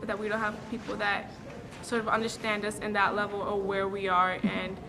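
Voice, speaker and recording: A young woman in her late teens from the Dominican Republic, answering interview questions earnestly and reflectively, pausing to gather her thoughts. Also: mid-range voice, monotone. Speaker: student interviewee. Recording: noisy background hiss.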